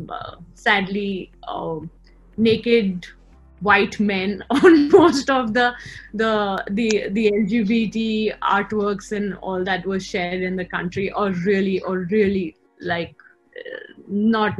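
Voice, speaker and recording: A woman with an Indian accent answers interview questions in a reflective, conversational way. Her voice sits at a middle pitch, and she feels her way through her thoughts with some hesitation. She is on a video call, with music playing behind her. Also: lo-fi sound. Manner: conversational, hesitant, thinking aloud. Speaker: interview guest, co-founder of a queer community platform. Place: small room indoors, on a video call. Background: background music.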